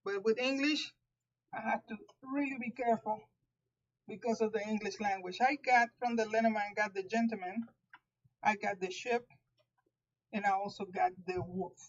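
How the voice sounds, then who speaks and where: A woman's voice: medium pitch, fairly even intonation, moderate pace, long pauses, highs cut off. A woman with a Puerto Rican accent, a native Spanish speaker, giving a live tarot reading, talking carefully with frequent restarts. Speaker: tarot reader.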